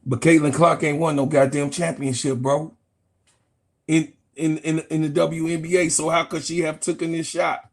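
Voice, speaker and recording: Middle-aged man, sports host, argumentative and profane, husky voice pitched high. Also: flat delivery, moderate pace. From Chicago, USA. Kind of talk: heated casual sports rant.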